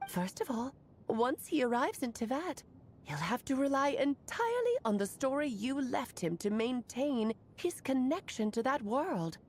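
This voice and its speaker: An actress playing a playful, affectionate magical woman in a video game, with theatrical, expressive phrasing. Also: high voice, slight background noise. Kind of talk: scripted video game voice acting.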